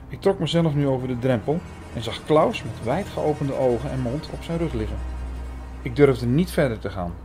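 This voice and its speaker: A man's voice: mid-range voice, warm tone, a little hiss or hum. A man reading scripted first-person narration for a thriller audio drama.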